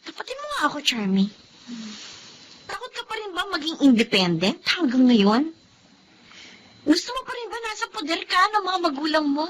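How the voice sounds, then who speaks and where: A woman's voice: sits high, sing-song, moderate pace, pauses often. A woman with a Filipino accent playing a blunt, admonishing confidante in a radio drama. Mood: scolding. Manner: emphatic, admonishing. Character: blunt, scolding female confidante lecturing a young wife.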